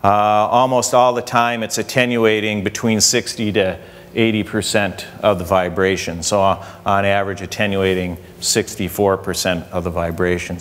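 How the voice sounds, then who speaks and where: A man's voice: lowish voice, a bit bright. A young man, an engineer, explaining a research study at a conference while walking around a hotel meeting room. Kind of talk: conference presentation. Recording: reverberant conference hall.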